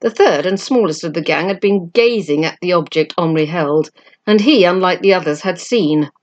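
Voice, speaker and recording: A woman narrates a storybook in a lowish voice. Her reading is scripted and expressive, with a dramatic feel for the action. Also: normal speed, dull audio. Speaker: amateur book reader.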